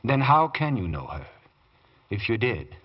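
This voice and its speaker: An actor playing a deferential but bitter, brooding valet, formal and hesitant, in 1940s radio drama style. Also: male voice, fairly low pitch, sing-song, a little slow. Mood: bitter, ominous. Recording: dull, muffled old radio recording.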